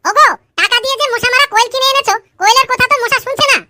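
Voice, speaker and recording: A man voicing a cheeky, chattering cartoon schoolgirl through a pitched-up voice effect. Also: rapid-fire, sharp tone, a little hiss or hum, heavily acted. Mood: cheeky storytelling. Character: cheeky married schoolgirl with a tale for everything. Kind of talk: comic cartoon dialogue. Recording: music behind.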